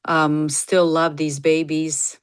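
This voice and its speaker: Middle-aged woman, native Bosnian speaker, low and clear voice, conversational podcast guest. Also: flat delivery, slow. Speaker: podcast guest, nurse practitioner and clinic founder. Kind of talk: casual interview talk.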